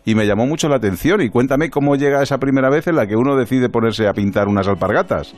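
Fast-talking Spanish male radio host. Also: mid-range voice. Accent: Spanish, from Spain. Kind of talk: conversational radio interview.

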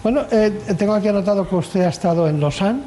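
A man's voice: sits high, fast, warm tone, slight background noise. A man hosting a health radio show, introducing topics and interviewing in a conversational way, often repeating his phrases.

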